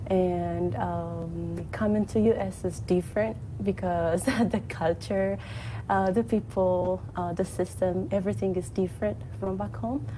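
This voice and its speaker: A woman from Ethiopia speaking in a slow, halting way with many fillers, talking freely at a work event. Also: lowish voice, steady tone, mellow, a little hiss or hum, low-quality recording. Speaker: panel speaker. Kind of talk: unscripted talk at a work event.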